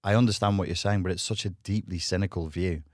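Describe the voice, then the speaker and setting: A man's voice: low-pitched, steady tone, fast, no echo, clean studio sound. A man talking as a podcast guest, blunt and provocative, laughing often as he argues. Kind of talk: casual debate chat.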